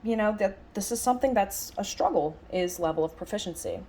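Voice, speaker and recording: A woman voicing a lecture as an interpreter, conversational, speaking in halting phrases with stress on key words. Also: mid-range voice, animated, warm tone, slight background noise. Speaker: sign language interpreter voicing a signed lecture.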